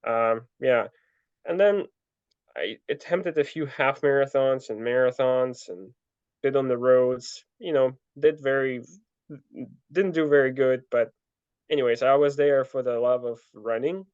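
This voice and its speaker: A young man telling his story in a relaxed interview, full of fillers and restarts. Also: mid-range voice, a little slow, clear, resonant tone, no echo. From Ukraine. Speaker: guest, ultra trail runner. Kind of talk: casual interview answers. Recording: dull lo-fi dry sound.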